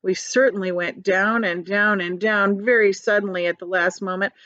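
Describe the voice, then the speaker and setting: A woman's voice: medium pitch, steady tone, normal speed, sharp tone, dry recording, dull audio, lightly acted. A woman voicing a gloomy, complaining earthworm from a children's storybook. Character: gloomy, grumbling, fed-up earthworm.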